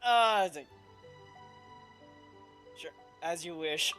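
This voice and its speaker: Low-voiced woman chatting over gameplay. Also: a bit bright, faint music behind. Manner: offhand, halting asides. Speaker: gamer streamer. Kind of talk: casual commentary over gameplay.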